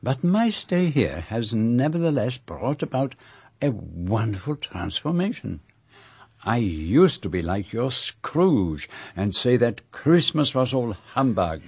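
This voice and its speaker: An actor playing a courteous Belgian detective in a radio drama, with a French-speaking Belgian accent and formal, precise, gallant speech. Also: male voice, medium pitch, sing-song, slow pace, a little mellow, breathy edge, heavily acted. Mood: genial.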